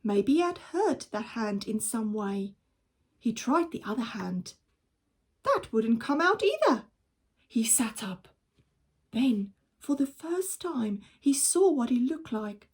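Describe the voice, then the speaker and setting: A woman reading a children's story aloud as a teacher would, in a measured, expressive storytelling manner. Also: high voice, husky.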